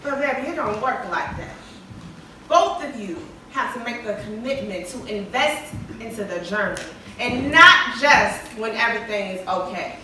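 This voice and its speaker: A woman's voice: a little high, expressive, moderate pace, pushed and bright. A woman acting in a stage play as a sassy, outspoken group member, talking in an emphatic, folksy way. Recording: very echoey hall from far off, faint noise.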